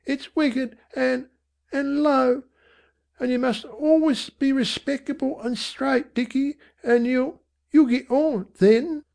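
Woman acting a weary, high-pitched slum mother. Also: steady tone, a little slow, vocal fry, darkish tone. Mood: faltering reproach. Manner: doleful, faltering complaint. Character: weary, listless slum mother, feebly clinging to respectability. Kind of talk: audiobook character voice.

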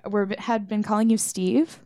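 A woman's voice: medium pitch, fairly even intonation, normal speed, dark tone. A woman speaking casually as a band member in an interview.

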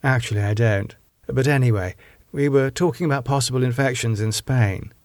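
A man's voice: medium pitch, normal speed, darkish tone, no room sound, natural voice. A man reading an audiobook, voicing a composed woman in authority who is polite, probing and insistent. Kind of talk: audiobook character dialogue.

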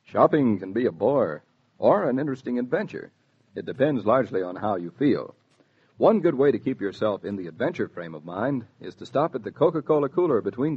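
Male American 1940s announcer, animated intonation, slightly husky, folksy sponsor style, muffled vintage recording. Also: medium pitch. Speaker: radio announcer. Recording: dull 1940s radio transcription.

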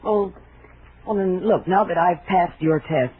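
An actress playing a wary, indignant young stage dancer in a 1940s radio thriller, with a brassy, stammering, defensive delivery. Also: female voice, lowish voice, measured pace, breathy edge. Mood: puzzled, coaxing. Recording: noisy, narrow-band 1940s radio recording.

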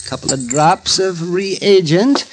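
An actor playing a teasing old frontier town doctor in a 1950s radio drama, chuckling and needling in a folksy way. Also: male voice, sits high, measured pace. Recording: hissy old radio broadcast.

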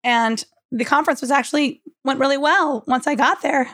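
A woman co-hosting a podcast, hoarse and losing her voice after a cold, telling a story in casual chat. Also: high voice, normal speed, a bit bright, no echo, clean studio sound. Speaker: podcast co-host.

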